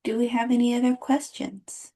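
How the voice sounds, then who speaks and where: A woman's voice: on the high side, level tone, normal speed, mellow. A woman moderating an online conference session, calling on people and thanking the speaker.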